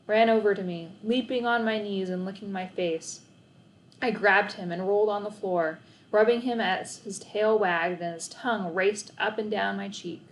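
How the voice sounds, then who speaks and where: A woman reads a children's story aloud in scripted, expressive narration. Her pitch sits in the middle range for a woman. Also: slight background noise, low-quality recording.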